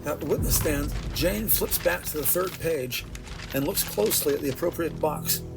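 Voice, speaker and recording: A man's voice: a little high, moderate pace, vocal fry. A man narrating an audio drama from a script in a descriptive, theatrical storytelling manner. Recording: music behind the voice.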